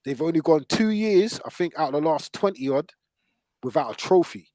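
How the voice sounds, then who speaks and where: A man's voice: fairly high pitch, animated, normal speed, darkish tone, dry recording. A man with a London accent debating football casually and emphatically, repeating phrases to drive his points home. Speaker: football podcast host.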